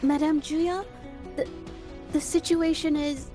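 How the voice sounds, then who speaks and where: A woman's voice: high-pitched, steady tone, slow pace, mellow, soft background music. A female actor playing a shy, earnest young artist with a hesitant, timid, polite way of talking. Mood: flustered.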